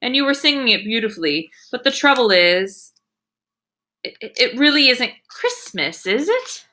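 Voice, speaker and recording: A woman's voice: a little high, very animated, normal speed, bright, forceful tone, voice pushed up. A woman voicing a patient, weary male schoolteacher in a storybook, coaxing tactfully with hesitant questions. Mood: awkward, gentle.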